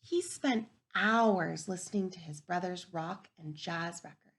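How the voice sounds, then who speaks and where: A woman teacher reading a picture book aloud to young children, gentle and encouraging, often dropping to a soft whisper. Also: fairly low pitch, a little slow. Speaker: kindergarten teacher. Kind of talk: read-aloud storybook lesson for young children.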